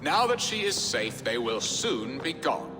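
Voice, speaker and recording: A blustering medieval Saxon lord, with a lively, swooping voice pitched a little high. He speaks grandly and wryly in a big reverberant space with music behind him. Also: unhurried. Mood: lordly. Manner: grandiose, wry, rhetorical delivery. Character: blustering middle-aged Saxon lord, jovial and theatrical. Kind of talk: dramatic video game dialogue. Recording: echoey hall reverb with music.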